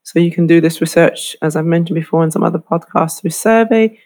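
A middle-aged woman from the UK, a lecturer giving a clear, explanatory lesson on branding. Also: fairly low pitch, fairly quick, a little mellow. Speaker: fashion marketing lecturer. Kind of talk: instructional talk to camera.

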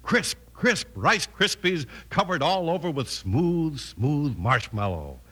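A man's voice: on the high side, big pitch swings, slow pace, pushed and bright, slightly rough. A man with an American accent announcing an old-time radio show in an emphatic, salesmanlike scripted style. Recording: old radio recording with music behind.

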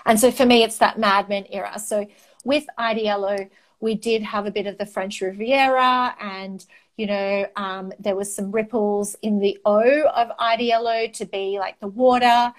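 A woman in her forties with an Australian accent, a native Greek speaker, chatting as an interview guest about her marketing work in a loud, passionate, animated way, full of "um" fillers. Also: mid-range voice, moderate pace. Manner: loud, fiery and passionate, talks with her hands. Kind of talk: casual interview chat.

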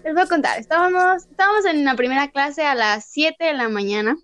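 A woman's voice: high-pitched, fairly quick, a bit bright. A young woman talking casually as a podcast guest, chatty and often laughing. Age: young adult. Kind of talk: casual chat.

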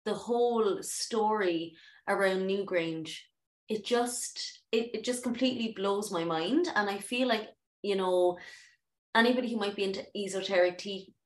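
A woman's voice: medium pitch, moderate pace. A woman co-hosting a podcast, talking effusively and searching for words. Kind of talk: casual podcast chat. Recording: echoey room.

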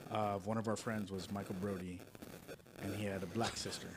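A man's voice: lowish voice, little pitch movement, brisk, background noise. A man in his forties co-hosting a casual podcast, reacting with enthusiasm and telling a funny story. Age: 40s. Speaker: podcast co-host. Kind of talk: casual banter.

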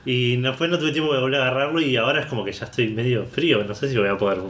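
A man's voice: mid-range voice, rapid-fire, a bit bright, echoey room, slight background noise. A man with an Argentine Spanish accent, co-hosting a podcast as casual chat, rambling and stop-start with many fillers. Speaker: podcast co-host.

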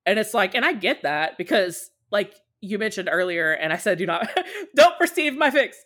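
A woman's voice: mid-range voice, very animated, moderate pace, a bit bright, breathy edge. A woman talking casually and candidly as a podcast guest, at length and in a conversational way. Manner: talkative and candid, often acting out short quotes. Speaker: podcast guest, podfic creator.

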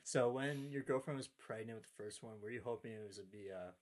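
A man's voice: mid-range voice, quick pace. A man of twenty-one hosting a casual chat, asking questions and teasing the guests. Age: 20s.

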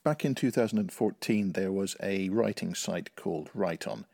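A man's voice: low-pitched, normal speed, gravelly, mellow, no echo, studio quality. A middle-aged man from Northern Ireland, speaking as a writer and podcast guest. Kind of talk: interview answers and reading own short story.

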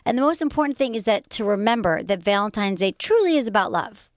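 A woman's voice: mid-range voice, lively intonation, fast talker, dry recording, clean studio sound. A woman with a Michigan accent hosting a casual talk show, bubbly and playful. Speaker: podcast host.

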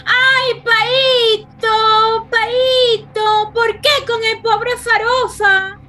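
Distraught woman, extremely high shrill voice, very slow drawn-out wailing, melodramatic radio drama. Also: flat delivery, full character voice. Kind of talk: radio soap opera acting.

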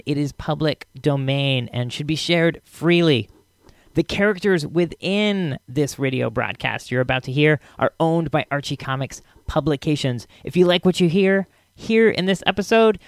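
A man with a hoarse, worn-out voice hosting a podcast, chatting casually with laughs and fillers. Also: on the high side, normal speed, no echo, clean studio sound. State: hoarse, losing his voice. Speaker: podcast host.

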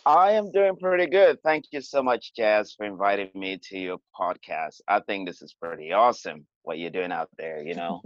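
A man's voice: fairly low pitch, animated, normal speed, few pauses, no room sound. A man from Cameroon, a podcast guest, telling his experiences in a hesitant, conversational way with many fillers and restarts. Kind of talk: conversational interview storytelling. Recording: dull, lo-fi sound.

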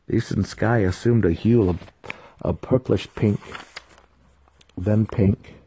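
A man's voice: fairly low pitch, slow pace, warm tone, slight background noise. A man reading fantasy fiction as a grave, dramatic scripted narration. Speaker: audiobook narrator.

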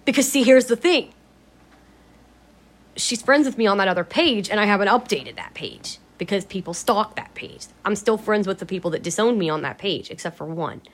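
A woman vlogging in a casual, rambling way, her voice a little raspy from allergies, blunt and free with swear words. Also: medium pitch, lively intonation, normal speed. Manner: chatty, blunt, swears freely. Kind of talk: casual rambling vlog chat.

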